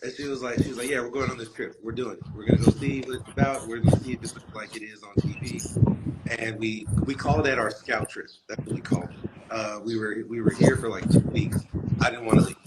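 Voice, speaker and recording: A man's voice: mid-range voice, steady tone, quick pace. A man from San Diego who grew up in Atlanta, a guest casually telling a rambling story with frequent restarts and laughs. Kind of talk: casual storytelling over video call. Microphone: video call. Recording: loud background noise on video call.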